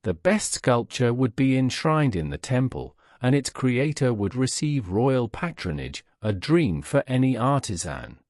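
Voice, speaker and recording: A man's voice: medium pitch, sing-song, measured pace, hardly any pauses, darkish tone, no room sound, clean studio sound. A man narrating a scripted bedtime story as a storyteller.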